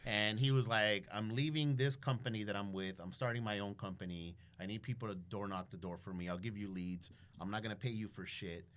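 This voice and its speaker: A man with a fairly low voice talks as a podcast guest. He tells stories casually and bluntly, swears often, and slips into quoted dialogue as he goes. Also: fairly quick, few pauses. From Guatemalan background. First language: bilingual English and Spanish. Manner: profane, blunt, animated retelling with quoted dialogue. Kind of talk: casual storytelling interview.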